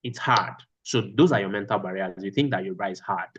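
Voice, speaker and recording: A man's voice: fairly low pitch, fast, a bit bright, breathy edge, dull audio. A man teaching a class over a video call, repeating his phrases for emphasis. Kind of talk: online class lecture.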